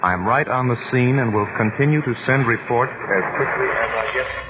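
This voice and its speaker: An actor playing a grave radio news reporter with formal, portentous 1940s delivery. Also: male voice, medium pitch, brisk, close to an everyday voice. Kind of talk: 1940s radio newscast acting. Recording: crackly, narrow-band 1940s radio.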